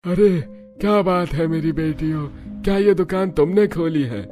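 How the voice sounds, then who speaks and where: A man's voice: a little high, moderate pace, a little mellow, soft background music, natural voice. A man voicing a kindly, lonely old village man in a cartoon story, speaking affectionately. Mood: warm, pleased. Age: older. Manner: affectionate, addresses young women as daughters. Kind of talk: Hindi cartoon story acting.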